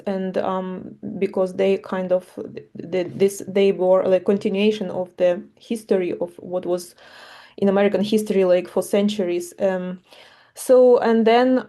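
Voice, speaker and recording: A woman introducing herself on a panel over a video call. She talks haltingly and often searches for words. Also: mid-range voice, dark tone. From Ukraine. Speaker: panelist, visual artist.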